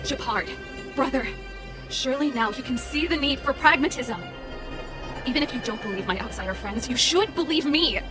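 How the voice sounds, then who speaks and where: An actress playing a bold, defiant older sister who rebels against authority in a video game, impassioned and insistent. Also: female voice, high-pitched, expressive, moderate pace. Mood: pleading. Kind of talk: dramatic video game voice acting. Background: game music.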